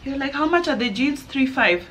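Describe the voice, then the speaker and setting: A woman in her thirties with a fairly high, bright voice. She hosts a casual chat in a playful, teasing way. A faint hiss sits under her voice. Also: animated, moderate pace. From Kenya. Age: 30s. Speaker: host.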